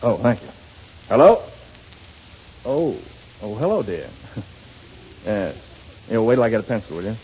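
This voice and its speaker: Male comic actor, fussy phone-call bit, animated pitch, 1930s broadcast. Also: measured pace, pauses often, a little hiss or hum. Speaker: comedy sketch performer. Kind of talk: radio comedy sketch. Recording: muffled 1930s radio broadcast.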